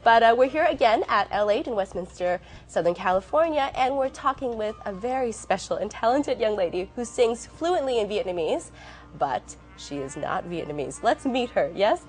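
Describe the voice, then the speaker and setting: A woman's voice: mid-range voice, lively intonation, moderate pace. A woman hosting a casual interview, a little sick, who laughs often and exclaims with delight. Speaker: talk show host.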